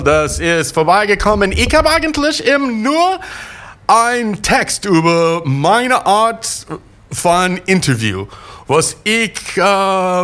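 A man's voice: high-pitched, a bit bright. A man with an English accent, a radio host and native English speaker, talking in rambling, enthusiastic German full of hesitations. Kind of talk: casual radio show sign-off.

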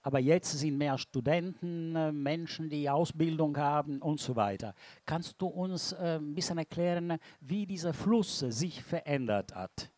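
Halting man. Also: fairly high pitch, darkish tone, no echo. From Palermo, Sicily. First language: Sicilian. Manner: halting, searches for German words.

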